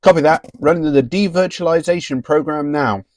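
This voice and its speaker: A man's voice: a little high, moderate pace, darkish tone, no room sound, studio quality, lightly acted. A man playing an earnest teenage boy computer whiz who leads his team, in a fan audio drama. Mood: serious.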